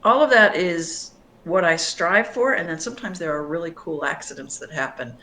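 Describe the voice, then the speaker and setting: A middle-aged woman singer-songwriter answering interview questions in a thoughtful, reflective way, often pausing and restarting. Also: on the low side, pushed and bright, slight background noise.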